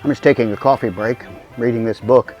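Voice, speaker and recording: A man's voice: mid-range voice, fairly even intonation, brisk, a little mellow, faint music behind. A man hosting with scripted, conversational narration addressed to the audience, recorded a little dull. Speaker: host introducing a radio comedy recreation.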